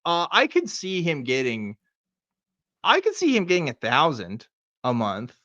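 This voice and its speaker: A man's voice: a little high, wildly swooping pitch, a little slow, sharp tone, no echo, muffled recording. A middle-aged American man hosting a casual show, reading aloud and riffing with sardonic, profane asides. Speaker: podcast host.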